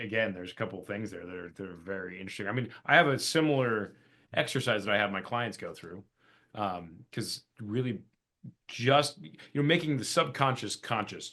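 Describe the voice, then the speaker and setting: A man's voice: lowish voice, pushed and bright. Affirming male interview host. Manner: affirming, thinks aloud with restarts. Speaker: podcast host and coach. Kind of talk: casual interview conversation.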